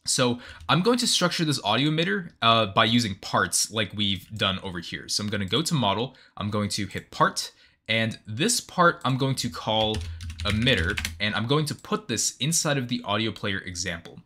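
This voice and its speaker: Bright, animated man giving a tutorial. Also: fairly low pitch, moderate pace. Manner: step-by-step, instructional, frequent emphasis on key terms. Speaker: tutorial host. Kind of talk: explanatory software tutorial voice-over.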